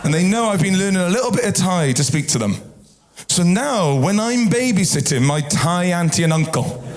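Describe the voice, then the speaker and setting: Male comic, high bright voice, loud theatre crowd laughing throughout. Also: moderate pace, noisy background. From Wales. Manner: energetic, shouting punchlines to a laughing crowd. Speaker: stand-up comedian. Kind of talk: stand-up routine. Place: large theatre stage. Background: loud audience laughter.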